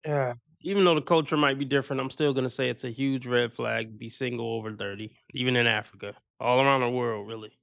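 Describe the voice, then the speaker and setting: A man hosts a livestream and reacts to clips in casual, blunt commentary. His mid-range voice has a gravelly fry to it. The sound is dry and close, with no room around it. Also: mid-range voice, fairly even intonation, fast talker, few pauses, a bit bright, dry recording, lo-fi sound.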